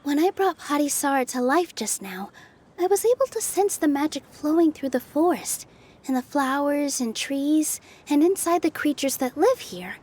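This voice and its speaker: A woman playing a gentle, earnest and thoughtful young dancer in a fairy-tale game. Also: high-pitched, animated, normal speed. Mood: wondering. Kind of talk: English game dub voice acting.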